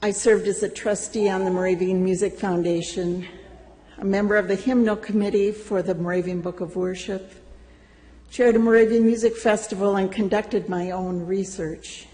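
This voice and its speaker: An older woman reading a prepared personal testimony to a church congregation, slow and deliberate with gentle humor. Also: medium pitch, steady tone, big-room sound. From United States. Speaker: church member giving a testimony. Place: church sanctuary.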